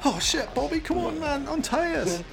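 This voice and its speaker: A man voicing a high-strung, indignant young man who protests and pleads shrilly in a comic radio play. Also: big pitch swings, moderate pace, vocal fry, loud music behind the voice, heavily acted. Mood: panicked pleading.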